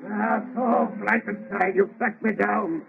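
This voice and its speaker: A man playing a vengeful, mocking man-made monster in old radio horror style, raspy and grandiose in his speech. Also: sits high, steady tone, slow, noisy background, exaggerated voice. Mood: mocking, menacing. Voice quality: raspy, gravelly. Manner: grandiose, declamatory. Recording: muffled lo-fi old radio recording.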